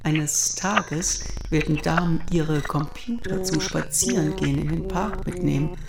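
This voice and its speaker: An actress in a radio drama playing a cryptic, solemn woman who recites in a measured, enigmatic way with a creaky voice. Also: deep voice, heavy background noise.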